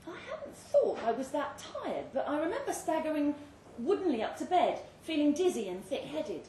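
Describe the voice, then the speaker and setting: A woman giving an expressive, theatrical reading of a suspenseful short story at a live indoor event. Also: high-pitched, moderate pace. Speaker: story reader. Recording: roomy live venue, distant mic, faint noise.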